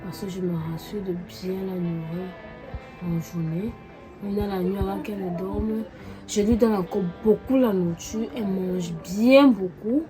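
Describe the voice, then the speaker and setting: Congested woman vlogger, talking casually over clear background music. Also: mid-range voice, steady tone, measured pace. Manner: chatty, repeatedly addresses viewers as family. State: congested with a cold and cough. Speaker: vlogger mother. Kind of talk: casual family vlog talk.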